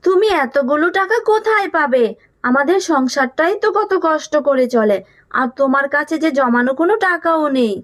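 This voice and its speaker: A woman plays a careworn cartoon animal mother in a very high voice. She fusses and scolds in an exasperated but motherly way. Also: expressive, moderate pace, fairly bright tone. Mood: worried. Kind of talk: Bengali children's cartoon voice acting.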